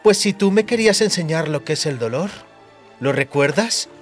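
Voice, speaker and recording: Guy doing a young swordswoman out for revenge, raised pitch, cold n taunting, fantasy audiobook. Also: animated, faint music behind, natural voice. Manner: deliberate, taunting. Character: scarred vengeful young woman, coldly taunting.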